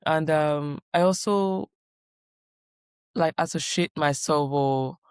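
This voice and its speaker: Smooth-voiced woman sharing advice. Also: low voice, slow pace, dry recording. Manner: reflective, repeats key phrases for emphasis. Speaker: guest, doctoral researcher. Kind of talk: conversational interview.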